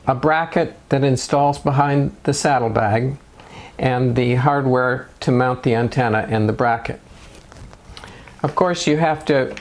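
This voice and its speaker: A man narrating step-by-step DIY instructions in a measured, matter-of-fact way. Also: medium pitch, slight background noise. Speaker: motorcycle DIY video host.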